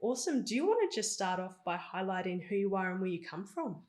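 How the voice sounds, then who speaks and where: A woman's voice: medium pitch, big pitch swings, fast talker, darkish tone. A woman hosting a podcast in a conversational interviewing style. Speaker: podcast host.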